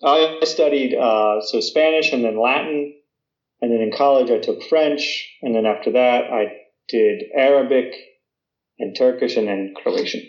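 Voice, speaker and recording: A man's voice: mid-range voice, a little slow, reverberant, dull audio. An American man in his thirties talking casually, with lots of fillers, restarts and "you know". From United States. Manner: chatty, many fillers and restarts. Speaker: guest. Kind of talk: casual conversation.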